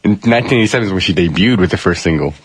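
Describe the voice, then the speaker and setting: A man's voice: sits low, lively intonation, rapid-fire, slightly rough. A man in his twenties, a guest on a radio show, easygoing and informative, laughing often. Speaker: music segment guest. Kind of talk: casual radio chat.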